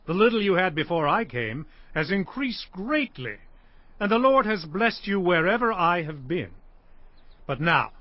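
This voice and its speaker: An actor playing Jacob, an earnest, hardworking shepherd, pleading emphatically in a dramatized audio Bible. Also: male voice, high-pitched, very animated, measured pace, no echo, muffled recording. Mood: earnest, pressing.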